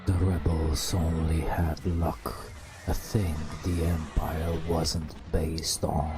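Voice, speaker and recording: A man softly narrating a video game as an ASMR bedtime story, hushed and drawn out, with long pauses. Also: rumbling low, level tone. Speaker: host narrating gameplay. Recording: music behind the voice. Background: game music.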